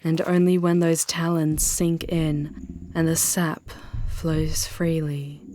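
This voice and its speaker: Over noticeable background noise, a seductive female demon played by a woman speaks very slowly in a lowish voice. She is intense and protective, with theatrical, hushed phrasing suited to a dramatic ASMR roleplay. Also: level tone. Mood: ominous, hushed. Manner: theatrical, hushed, drawn-out phrasing, often slipping into whispers.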